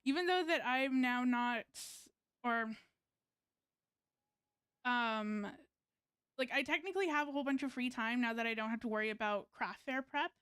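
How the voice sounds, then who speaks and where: A woman's voice: on the high side, normal speed, long pauses, fairly bright tone, smooth, dry recording. A woman game streamer chatting casually in a rambling, hesitant way, close to the mic. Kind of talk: casual livestream chat, reading game dialogue aloud.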